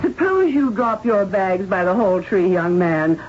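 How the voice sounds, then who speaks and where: Forbidding head nurse, 1970s radio mystery: curt, officious, animated intonation. Noisy old broadcast tape. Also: female voice, medium pitch, a little slow, lo-fi sound. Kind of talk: 1970s radio drama acting.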